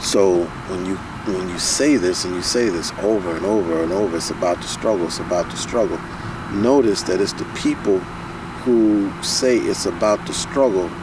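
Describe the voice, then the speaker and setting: A man's voice: low-pitched, normal speed. A man from the United States, a security professional, speaking emphatically and repeating points for stress as an interview guest. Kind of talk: casual conversational interview. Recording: distant mic with loud street and car noise.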